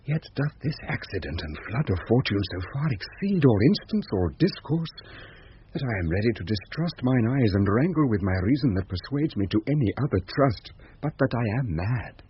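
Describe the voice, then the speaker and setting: Earnest young gentleman in love, lowish voice, lively musing verse for radio drama. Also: breathy edge, full character voice. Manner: lyrical, musing, measured verse. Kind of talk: 1970s BBC radio Shakespeare soliloquy. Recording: muffled, noisy 1970s radio recording.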